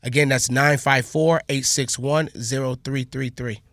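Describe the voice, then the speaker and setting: A man's voice: mid-range voice, steady tone, unhurried, a bit bright, no echo, clean studio sound. A man appearing as a podcast guest, promoting his work in casual conversation.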